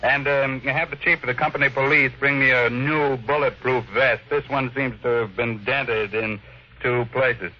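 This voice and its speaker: An actor playing a ruthless, imperious older millionaire in a 1950s radio drama, brusque and commanding. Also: male voice, mid-range voice, steady tone, normal speed, slight background noise, natural voice. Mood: cool, unruffled. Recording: lo-fi old radio broadcast.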